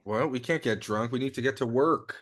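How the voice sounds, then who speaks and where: An earnest secret agent read by a man with a medium-pitched voice. He is plainspoken and a bit halting, the serious, uneasy partner in a comedy action script. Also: close to an everyday voice. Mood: reluctant. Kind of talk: virtual table read of a comedy screenplay.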